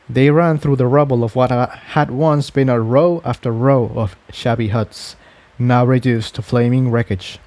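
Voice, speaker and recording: Male narrator, soft-edged mellow tone, mid pitch, scripted fan fiction storytelling. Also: no room sound.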